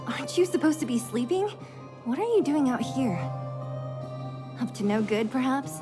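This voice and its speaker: A woman's voice: high voice, expressive, normal speed. A woman voicing a tough, blunt, cocky young female fighter in a video game. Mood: suspicious, mocking. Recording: game music behind the voice. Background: music.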